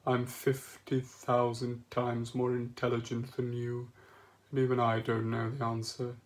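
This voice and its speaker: A man voicing a depressed male robot who speaks in a slow, mournful, condescending drone. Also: medium pitch, monotone, close to an everyday voice. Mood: gloomy.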